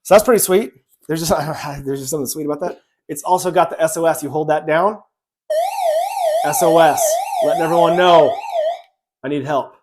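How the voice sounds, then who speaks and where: Male product reviewer, voice a bit on the high side, informal and unscripted, walking through features with repetitive patter. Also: wildly swooping pitch, moderate pace, pauses often, noisy background. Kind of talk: casual hands-on product demonstration.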